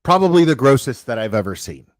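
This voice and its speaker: A man's voice: medium pitch, very animated, fast talker. A man ranting in a casual reaction video, blunt and profane, with lots of restarts and slangy fillers. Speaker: online commentator.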